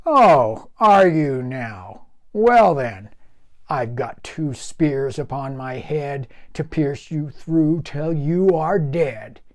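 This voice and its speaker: A man voicing a huge, fearless male billy goat who speaks slowly and boldly. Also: fairly high pitch, expressive, darkish tone. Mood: threatening.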